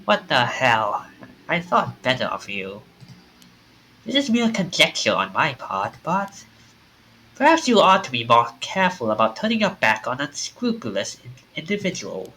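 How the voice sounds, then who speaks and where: A man's voice: mid-range voice, sing-song, normal speed, bright, forceful tone, slight background noise, rough recording. A man voicing a mocking, theatrical game storyteller who scolds with mock politeness. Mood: mocking, exasperated. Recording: music under the voice.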